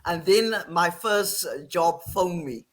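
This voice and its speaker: A middle-aged woman from the Western Cape with an Afrikaans South African accent, telling her story in animated casual talk on a video call. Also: fairly low pitch, takes it slowly. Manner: animated, repeats phrases, laughs often. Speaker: guest, online English teacher.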